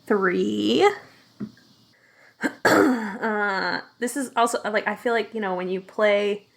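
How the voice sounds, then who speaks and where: A woman's voice: mid-range voice, slow, gravelly. A woman playing a solo tabletop mystery as a guest, chatting casually and laughing often. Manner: chatty, laughs often, slips into a posh in-character voice. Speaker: guest player. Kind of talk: casual play chat.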